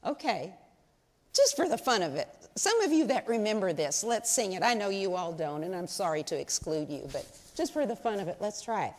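A woman's voice: medium pitch, sing-song, brisk, darkish tone. Older woman speaking in a church. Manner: chatty, folksy, asks the audience questions. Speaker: lay speaker at women's service. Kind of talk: informal church talk to a congregation. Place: large church sanctuary. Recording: long hall reverb in sanctuary.

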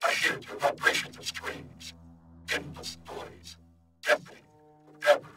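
A performer voicing a lost, disembodied human mind, whispering in broken fragments with sudden loud outbursts, panicked and confused. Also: a little slow, soft background music. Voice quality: mostly whispered, breathy. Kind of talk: horror audio reading.

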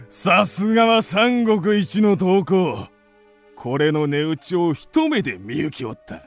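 A man playing a scheming, imperious ancient Chinese king, pompous and deliberate, in a radio drama. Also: sits high, lively intonation, faint music behind, heavily acted. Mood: commanding, flattering.

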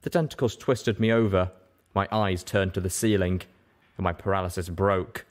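A man's voice: sits low, fairly even intonation, moderate pace. A man reading a first-person story aloud as scripted narration. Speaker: audiobook reader.

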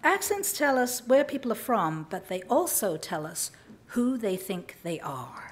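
A woman's voice: medium pitch, sing-song, unhurried. An older woman from England with a slightly mid-Atlantic English accent, reading a wry personal story on a small cabaret stage at a stand mic. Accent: English, mid-Atlantic tinge. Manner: wry, acts out voices in quotes. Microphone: vintage stand mic.

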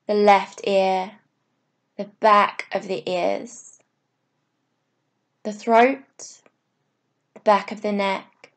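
A woman yoga teacher leading a guided meditation, giving soothing, unhurried instructions in short phrases with pauses. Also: mid-range voice.

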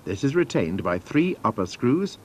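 A man's voice: medium pitch, big pitch swings, moderate pace, slight background noise. A man narrating scripted instructions for a training film in a formal, methodical manner.

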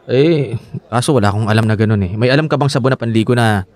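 A man's voice: medium pitch, fast talker, a little mellow, lightly acted. A man acting a protective, possessive young husband in a Filipino radio drama, with a Filipino accent. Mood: easygoing. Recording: clear music bed behind dialogue.

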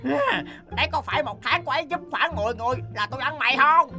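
A woman's voice: sits high, lively intonation, quick pace, sharp tone, faint music behind, full character voice. A woman voicing an exasperated, long-suffering husband in a comedy cartoon, grumbling and flustered. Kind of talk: animated comedy cartoon dub.

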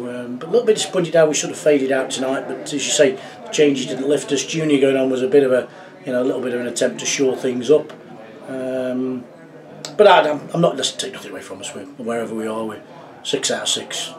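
Middle-aged football manager, slightly distant. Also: male voice, medium pitch, a crowd in the background. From England. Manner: measured, reflective, many fillers and restarts. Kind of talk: post-match interview. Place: small club office indoors.

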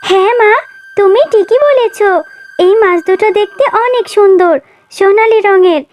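An eager little fox girl from a children's cartoon. Her voice is extremely high and childlike, and she speaks with emphatic, kindly keenness. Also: moderate pace, a little mellow, faint music behind. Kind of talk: Bengali children's cartoon dialogue.

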